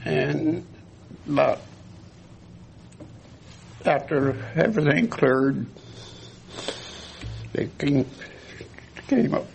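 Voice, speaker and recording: An older veteran man recalling his service slowly, in halting storytelling at a community gathering. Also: a little high, animated, gravelly, a little hiss or hum, rough recording. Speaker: veteran honoree. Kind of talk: recalling memories.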